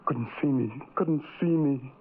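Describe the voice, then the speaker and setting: An actor playing a lonely, tormented man in a radio horror drama, with a brooding, halting, intense confessional delivery. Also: medium pitch, slight background noise, natural voice. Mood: frantic, guilty. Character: lonely, tormented, self-loathing man who hides from people. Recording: tinny old radio recording, music behind.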